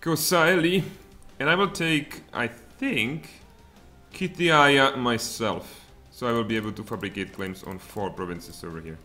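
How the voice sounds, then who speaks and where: A man's voice: mid-range voice, a little slow, soft background music. Czech man muttering through a strategy game. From Czech Republic. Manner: animated, muttering asides, sudden exclamations. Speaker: game streamer. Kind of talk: live strategy game commentary. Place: home room, indoors.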